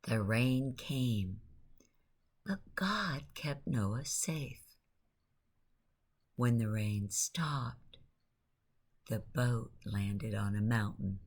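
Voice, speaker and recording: A woman narrating a guided bedtime story in a hushed, soothing way, with long pauses and a breathy, near-whispering voice. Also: extremely low pitch, lively intonation.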